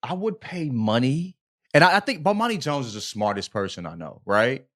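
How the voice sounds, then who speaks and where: A man talks as a podcast co-host in loose, slangy conversation. His voice sits at a middle pitch. He is emphatic and opinionated, circling back over his words and adding little check-in tags. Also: sing-song, moderate pace, a bit bright. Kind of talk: casual opinionated podcast chat.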